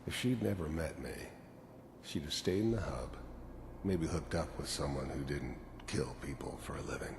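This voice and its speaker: Very deep, gravelly weary mercenary. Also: male voice, moderate pace. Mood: regretful. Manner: brooding, matter-of-fact, rough-spoken. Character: weary, guilt-ridden hardened mercenary killer reminiscing, male. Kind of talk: video game monologue. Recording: echoey, noisy game audio.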